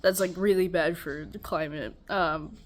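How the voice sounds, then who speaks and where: A young woman talks through her week in a loose, rambling way, her voice stuffy and congested and her tone weary. She sits low in her voice and laughs things off with self-deprecating asides. She is recording at home next to a loud air conditioner. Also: steady tone, a little slow. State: tired. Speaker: vlogger. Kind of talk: casual personal check-in.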